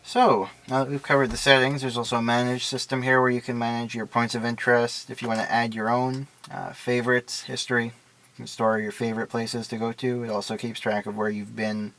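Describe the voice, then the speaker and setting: Guy doing a casual product review, matter of fact, explains stuff step by step, normal pitch. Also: little pitch movement, fairly quick, fairly bright tone. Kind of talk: casual unscripted product walkthrough.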